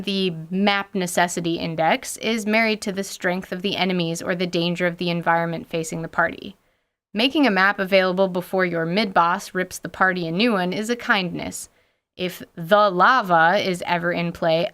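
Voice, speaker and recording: Woman hosting a scripted tabletop gaming show, mid pitch, playful n theatrical, lots of mock drama. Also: a bit bright, clean tone. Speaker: podcast host and game master.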